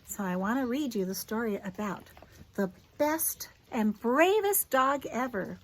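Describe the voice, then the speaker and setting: A woman reading a children's picture book aloud in an expressive, teacherly way, with simple asides to young listeners. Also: a little high, measured pace, slight background noise.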